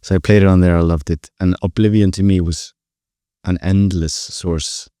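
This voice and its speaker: A young Icelandic man with a very low, mellow voice, recorded close and dry. He talks casually as a podcast guest, enthusiastic and a little rambling, often rewording himself mid-thought. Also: fairly even intonation, normal speed, no room sound. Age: 20s. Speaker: podcast guest, composer and pianist. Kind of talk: casual interview conversation.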